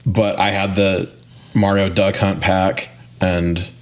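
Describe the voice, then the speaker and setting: Guy co-hosting a movie podcast, kinda low voice, slangy and jokey, swears a bit. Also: little pitch movement, takes it slowly. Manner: slangy, mildly profane, joking. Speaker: podcast co-host. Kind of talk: casual movie podcast chat.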